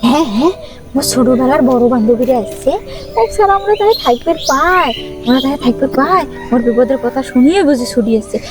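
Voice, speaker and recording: A woman's voice: sits high, lively intonation, a little fast. A woman voicing a fretful, sulky village wife doll in a cartoon, with a wailing, repetitive manner and a rural Bangladeshi accent. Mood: excited, welcoming. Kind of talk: high-pitched puppet-cartoon dialogue. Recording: loud music over the voice.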